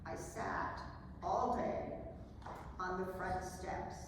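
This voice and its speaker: Proud abolitionist woman read by a woman, slightly low and unhurried, heard from far off in a reverberant hall. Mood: solemn, proud. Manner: measured, solemn recitation. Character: proud older abolitionist woman recalling her courageous childhood. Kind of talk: dramatic poetry reading. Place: large indoor hall at a live event. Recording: very echoey hall from far, noisy.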